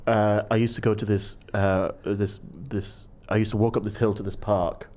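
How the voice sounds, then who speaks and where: A man's voice: fairly low pitch, little pitch movement, moderate pace, a little mellow. A man in his thirties, a guest on a podcast, telling rambling self-deprecating stories in casual chat. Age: 30s.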